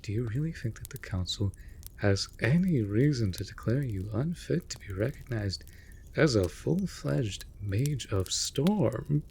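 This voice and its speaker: An actor playing a mage's raven familiar in a fantasy audio drama, theatrical and formal, scolding but caring. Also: male voice, medium pitch, lively intonation, a little slow, vocal fry, darkish tone, breathy edge, exaggerated voice. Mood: exasperated, scolding. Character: male raven familiar of a storm mage, exasperated but devoted. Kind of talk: scripted fantasy audio roleplay.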